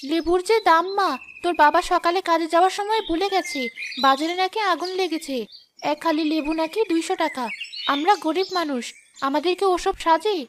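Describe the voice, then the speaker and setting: A poor, weary cartoon mother, voiced by a woman. She speaks softly and soothingly, quieter than the child she talks to. Her voice is still high. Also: brisk, noisy background. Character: tired, gentle poor mother.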